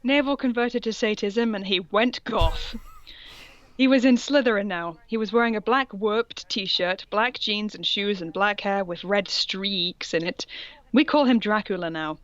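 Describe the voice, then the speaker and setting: A woman's voice: medium pitch, moderate pace, a bit bright, highs cut off. A woman reading aloud in a casual comic style, with sardonic, sweary asides and a theatrical touch. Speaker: co-host reading fan fiction aloud.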